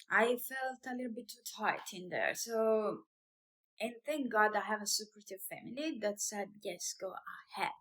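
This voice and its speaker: A woman's voice: mid-range voice, a bit bright, rough recording. A woman in her thirties from Italy with an Italian accent, speaking English as a second language, talking casually and hesitantly with fillers and restarts in her own vlog. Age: 30s. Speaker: vlogger, business owner.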